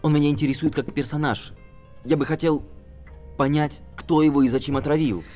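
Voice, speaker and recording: A man's voice: on the high side, steady tone, fairly quick, mellow, soft background music, close to an everyday voice. An actor playing a polite, inquisitive young man investigating a crime in a film drama. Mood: polite, curious. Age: young adult.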